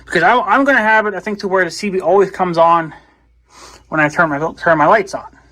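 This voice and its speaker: Rambling man with a slightly high voice. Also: fairly quick, pushed and bright, rough recording. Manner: rambling, thinking aloud. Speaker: video blogger. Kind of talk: casual hands-on gadget demonstration.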